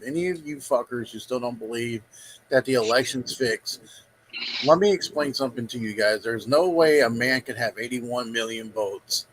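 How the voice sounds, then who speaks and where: A man speaks as a guest, pressing his argument slowly and with emphasis. His voice sits at a middle pitch. Faint noise runs behind him. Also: a bit bright, rough recording. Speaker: guest on a chat stream.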